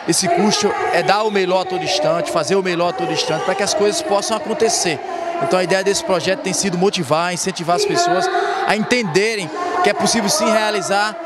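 A man's voice: high voice, fast. A man in his thirties from northeastern Brazil, a former athlete turned motivational speaker, answering an interview earnestly into a handheld mic in a school gym full of students. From Rio Grande do Norte, Brazil. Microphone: handheld reporter mic. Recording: loud crowd noise in a gym.

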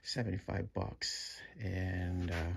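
A middle-aged man giving a casual walkthrough of his home-built project, halting with many fillers and restarts. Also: low voice, flat delivery, gravelly, slight background noise. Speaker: DIY builder. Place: home room with sim cockpit, indoors.

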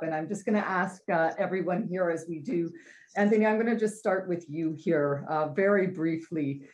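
Slightly low-voiced woman moderating a panel. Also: a little mellow. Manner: brisk, polite hand-offs. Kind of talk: moderating a video-call panel. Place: home office. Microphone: video call.

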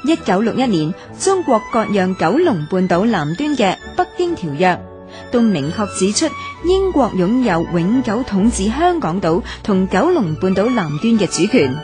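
A woman giving a measured, formal scripted narration of history for a radio documentary. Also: mid-range voice, very animated, a little mellow. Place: radio studio. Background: background music.

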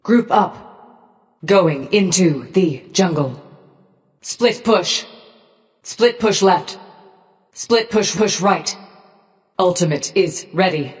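Female war machine, low-pitched, cold, menacing, clipped detached phrases, long reverb, video game character. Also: lively intonation. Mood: cold, commanding. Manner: slow, measured, emotionally detached machine-like delivery. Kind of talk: short scripted game commands.

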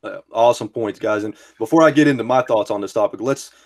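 A man's voice: fairly low pitch, quick pace. A man of thirty hosting a casual video-call discussion, speaking earnestly and emphatically from his game room with a headset and desk mic. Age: 30s. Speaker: host. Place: game room full of collectibles.

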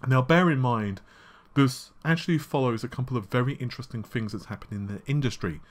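A man hosting a casual tech news show, his voice slightly scratchy and worn, talking in a chatty way with frequent fillers and quick asides. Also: mid-range voice. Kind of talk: casual solo tech commentary. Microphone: laptop recording setup.

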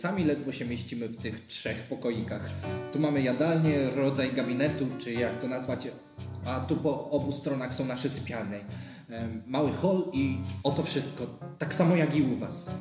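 A man's voice: mid-range voice, moderate pace, lightly acted. A man playing a stiff, cautious former deputy minister in a radio drama, formal and dry. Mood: polite refusal. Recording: roomy echo with faint music.

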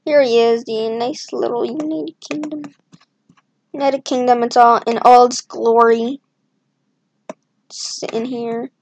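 Woman, slow-paced casual self-talk, rambling asides, voice on the higher side. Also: steady tone, darkish tone, muffled recording, slight background noise. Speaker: map-making video creator. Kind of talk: casual commentary while drawing.

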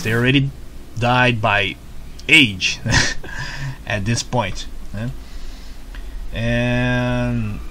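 A Brazilian man with a Brazilian Portuguese accent, reviewing a show in a casual, excitable way, stumbling over repeated words and tagging sentences with "né?". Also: medium pitch.

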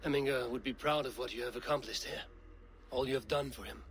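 A man playing a steady, earnest Viking warrior in a video game, speaking in a measured way. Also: medium pitch, a little hiss or hum, close to an everyday voice. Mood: gentle, consoling. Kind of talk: dramatic video game acting.

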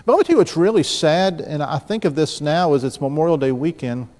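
A man's voice: a little high, expressive, fairly quick, a little mellow. A man in his fifties from the New Orleans area, preaching a sermon from the pulpit and telling stories in a conversational way, often restarting and asking the congregation questions. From New Orleans area, Louisiana. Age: 50s. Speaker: pastor. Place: church sanctuary, large room. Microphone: pulpit mic, mid-distance camera. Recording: church hall with long reverb.

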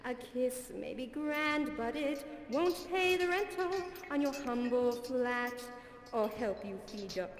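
A woman's voice: fairly high pitch, slow pace. A woman voicing a glamorous female cat cabaret diva, gushing with theatrical endearments between songs. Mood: sultry singing. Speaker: variety show performer. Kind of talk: cabaret song and stage patter. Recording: echoey hall with music behind.

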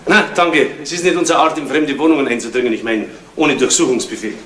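A man's voice: medium pitch, natural voice. A man playing a cheeky, probing junior police detective in a 1970s radio crime drama, laconic and wry. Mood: wry, dry. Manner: laconic, wry, quick questioning. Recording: very noisy, distant, echoey radio play.

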